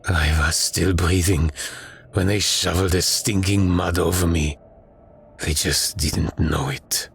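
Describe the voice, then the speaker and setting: A man's voice: sits low, steady tone, measured pace, vocal fry, fairly bright tone, husky, heavily acted. A man voicing a morose, broken dead soldier in an audiobook, with a heavy, mumbling delivery. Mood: resigned, bleak. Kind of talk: dramatic audiobook character voice.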